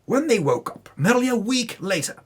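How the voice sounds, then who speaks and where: A man's voice: high-pitched, big pitch swings, a little fast, slightly rough. A man narrating a tabletop campaign recap as a dramatic storyteller, with theatrical delivery and casual asides.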